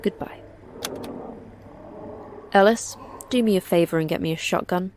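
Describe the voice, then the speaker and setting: An actress playing a terse, sarcastic manager of a secret facility in an audio drama, with a dry, curt delivery. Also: female voice, on the low side, unhurried, noisy background, lightly acted. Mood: dry, sardonic. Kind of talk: audio fiction acting.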